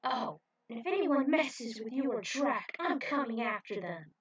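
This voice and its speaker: A woman's voice: a little high, lively intonation, measured pace, slightly rough, lo-fi sound, pitched above the performer's own voice. A woman voicing a loyal, protective man who runs a shipping business, in a firm, reassuring way.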